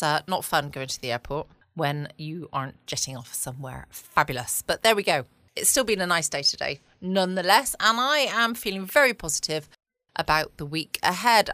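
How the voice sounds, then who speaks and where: A woman's voice: lowish voice, bright, forceful tone, dry recording. A woman with a southern English accent hosting a casual late-night podcast, chatty and rambling with self-joking asides. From United Kingdom. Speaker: podcast host.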